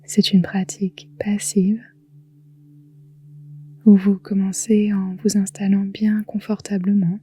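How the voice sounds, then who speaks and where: Super slow soft breathy woman doing guided meditation, mellow and even, soothing. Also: mid-range voice. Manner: soft, breathy, whispery delivery; slow, calm, soothing, with long pauses. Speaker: yoga nidra guide.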